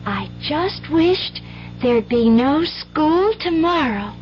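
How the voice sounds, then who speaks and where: A woman's voice: high voice, expressive, slow pace. An actress playing an earnest, dreamy little girl in a 1970s radio drama, folksy and full of childlike wonder. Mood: eager, earnest. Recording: muffled 1970s radio recording, music behind.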